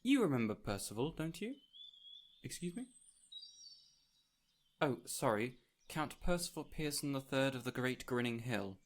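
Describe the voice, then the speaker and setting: A man's voice: mid-range voice, big pitch swings, a little slow, long pauses. Whimsical fey spirit played by a man, birdsong behind. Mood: courteous, wry. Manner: theatrical, formal, old-fashioned phrasing. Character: whimsical, gentle fey spirit man, courteous, joyful and wistful. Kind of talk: intimate audio roleplay, addressing the listener directly. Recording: clear ambient noise with birdsong. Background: birdsong and forest ambience.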